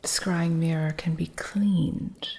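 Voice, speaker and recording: A woman performs an eccentric witch who keeps a cluttered curio shop, whispering slowly and softly right into a close microphone. Her low voice has a gravelly, fry-tinged texture. She is possessive and a little stern, like a keeper of guarded secrets in an ASMR roleplay. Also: fairly even intonation, full character voice. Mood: hushed whispering. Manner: soft, unhurried whispering with a stern, territorial edge.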